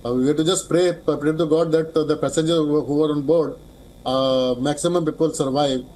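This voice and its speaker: A man with an Indian accent giving expert commentary in a live news interview, speaking haltingly and repeating words as he goes. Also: fairly high pitch, fairly even intonation, darkish tone, poor audio. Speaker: aviation expert, interview guest.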